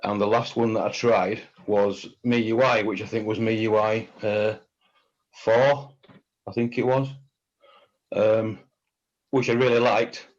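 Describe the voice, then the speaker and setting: A man chatting on a video call in a halting way, often repeating words as he tells his story. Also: lowish voice, fairly even intonation, dull audio. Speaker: community member. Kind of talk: casual video call chat.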